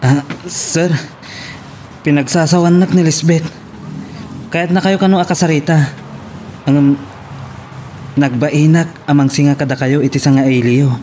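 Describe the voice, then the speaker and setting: An actor playing a polite, hesitant employee of a rich boss in a radio drama. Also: male voice, a little high, steady tone, unhurried, pauses often, mellow, slight background noise, close to an everyday voice. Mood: deferential. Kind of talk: Ilocano radio drama acting.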